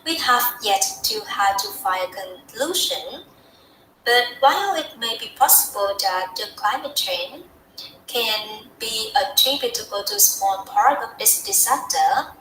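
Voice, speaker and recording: A young woman from Vietnam speaks English with a Vietnamese accent. Her voice is bright and crisp, and she talks in an earnest, heartfelt way, sometimes restarting a phrase. She sounds like she is in an echoey room, with a faint hiss behind her. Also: medium pitch, lively intonation, unhurried. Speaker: student speaker. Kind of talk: practice talk in a TED style.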